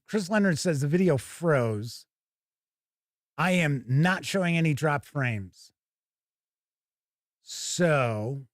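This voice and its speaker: A man's voice: a little high, no room sound, clean studio sound. A man hosting a tabletop gaming livestream, chatting casually and informally to viewers as he thinks aloud. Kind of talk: casual live unboxing chat to viewers.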